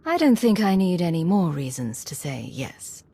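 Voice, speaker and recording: A woman's voice: on the low side, sing-song, moderate pace, creaky, dark tone, breathy edge, no room sound, heavily acted. A woman playing a guarded, matter-of-fact alliance commander in a video game. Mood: cool, businesslike.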